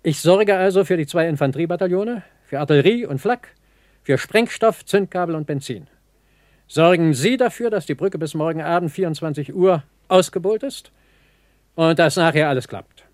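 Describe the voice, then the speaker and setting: A man playing a brisk, commanding German general of the Second World War in a 1960s radio play, speaking in clipped military phrases. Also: on the high side, darkish tone, dry recording, clean studio sound, natural voice.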